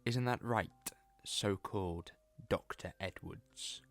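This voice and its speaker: A man voicing an eccentric time-traveling sleuth, quick-witted and theatrical. He swings from excitable outbursts to cool deductions. A music score plays under his lines. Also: lowish voice. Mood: deductive. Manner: theatrical, excitable, mock-exasperated. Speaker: the Doctor. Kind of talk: fan audio drama acting.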